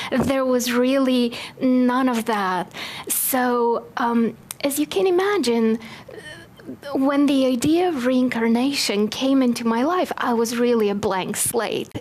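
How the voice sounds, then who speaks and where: A woman's voice: on the high side, darkish tone. A woman from Bulgaria giving a chatty, self-deprecating talk to a live audience, with many fillers. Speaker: speaker.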